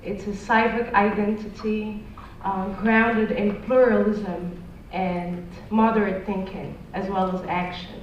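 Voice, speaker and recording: A woman's voice: medium pitch, flat delivery, slow pace, background noise. A woman introducing herself earnestly as a panelist, her English phrasing slightly nonstandard. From Morocco. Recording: echoey hall, distant muffled mic.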